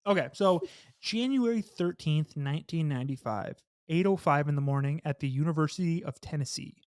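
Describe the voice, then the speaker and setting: A young man with a slightly high, slightly hoarse voice chats loosely on a close, dry studio mic. He rambles, restarts his sentences and drops casual swear words. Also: brisk, no echo. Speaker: podcast host. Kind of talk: casual podcast chat. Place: small home studio with red lighting. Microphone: studio mic with headphones, on camera.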